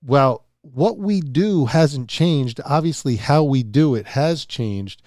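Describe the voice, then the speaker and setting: Male interviewer, warm dark tone, measured and conversational, dry close sound. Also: medium pitch, lively intonation, no room sound. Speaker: host, interviewer.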